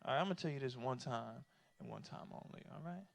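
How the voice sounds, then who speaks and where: A man's voice: medium pitch, fast talker, vocal fry, pitched below the performer's own voice, lightly acted. A man voicing a petty, domineering father in a comedy routine, scolding and bossy, dropping into hissed whispers. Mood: stern, whispering.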